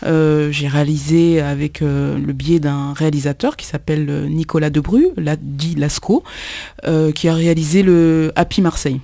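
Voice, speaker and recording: A woman from Kinshasa, a musician guesting on a radio show, talking in a halting way with many pauses and repeated words. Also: deep voice, steady tone, vocal fry, warm tone, no room sound. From Congo, Kinshasa. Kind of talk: radio interview, hesitant conversational speech.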